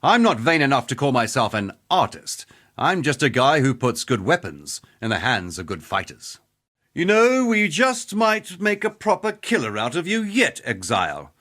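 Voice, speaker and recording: Male game mercenary, middle-aged weapon master, cocky, jovial, wry, roguish, highly animated intonation. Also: normal speed, heavily acted. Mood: frank, encouraging. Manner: roguish, wry, boastful, salty fighter's banter. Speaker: video game character. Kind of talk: scripted game character dialogue.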